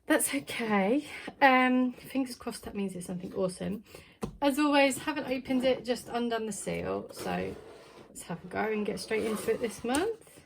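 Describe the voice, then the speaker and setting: A woman's voice: fairly high pitch, slight background noise. A woman chatting casually while unboxing a plant subscription box, thinking aloud with plenty of ums. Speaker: plant vlogger.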